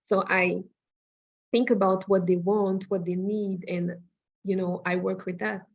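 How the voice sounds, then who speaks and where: A woman from Cameroon, giving measured, thoughtful interview answers as an interior designer. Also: medium pitch, fairly even intonation. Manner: measured and thoughtful, pauses to find words. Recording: dull lo-fi remote audio.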